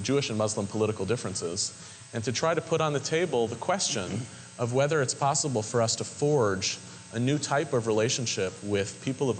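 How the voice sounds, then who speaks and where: A low-voiced man introduces an evening talk in a measured, articulate way, often pausing on an um. His words carry a long reverb through a large hall. A faint hiss runs beneath. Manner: measured, articulate, with frequent ums. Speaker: moderator and interviewer.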